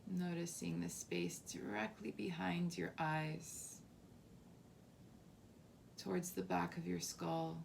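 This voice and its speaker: A woman leading a guided body scan meditation as a teacher, softly and calmly, with unhurried phrasing and long pauses. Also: on the low side, vocal fry, slight background noise. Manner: soft, unhurried, calming instruction with long pauses.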